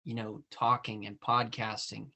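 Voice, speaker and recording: A man's voice: lowish voice, flat delivery, unhurried, vocal fry, bright, forceful tone, a little hiss or hum. A man hosting a podcast, chatting casually with lots of "you know" and "I mean". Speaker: podcast host. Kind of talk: casual interview chat.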